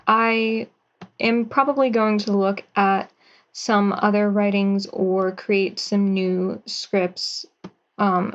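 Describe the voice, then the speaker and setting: A young woman in her twenties, a writer and actor, giving soft, thoughtful answers as an interview guest over a video call. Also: medium pitch, highs cut off.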